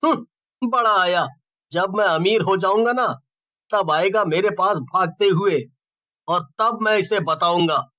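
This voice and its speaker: Greedy, high-voiced young schemer played by a man. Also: slow pace, bright, forceful tone, heavily acted. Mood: smug. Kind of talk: cartoon story acting.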